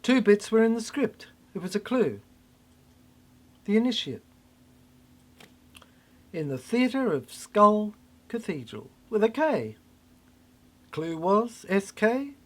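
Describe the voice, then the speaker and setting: Slow, halting speaker with dramatic swings. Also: medium pitch, very animated. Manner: halting, fragmented, emphatic repeated phrases. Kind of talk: rambling cryptic monologue.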